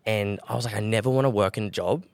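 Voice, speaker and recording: A young man speaks quickly in a low, smooth, resonant voice. His delivery is calm and relaxed, full of fillers and self-corrections. The close mic sounds dry. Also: no room sound. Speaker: guest, brand co-founder. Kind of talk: casual podcast interview.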